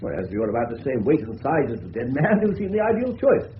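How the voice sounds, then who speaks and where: A persuasive English colonel, with very animated, swooping intonation. He is assured throughout, in a muffled old radio play with faint noise. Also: mid-range voice, fast talker. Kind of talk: radio drama acting. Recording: muffled, faintly noisy 1970s radio recording.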